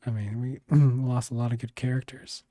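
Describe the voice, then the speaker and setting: A man with a hoarse, failing voice reviewing a TV episode in a casual, rambling way, often clearing his throat. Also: medium pitch, steady tone, normal speed, dark tone, dry recording, studio quality. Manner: rambling, many fillers and restarts. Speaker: video reviewer.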